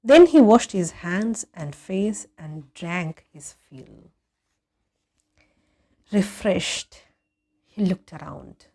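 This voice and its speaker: A woman reads a story aloud at a slow, measured pace. Her narration is expressive and scripted, with deliberate pauses for drama, in a mid-pitched voice. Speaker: audiobook reader.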